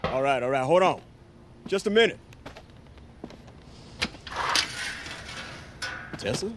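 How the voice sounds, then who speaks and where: An actor playing an evasive middle-aged father, a beer-drinking lieutenant, halting and gruffly jovial in an audio drama. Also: medium pitch, expressive, noisy background, lightly acted. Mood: awkward. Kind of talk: naturalistic audio drama acting.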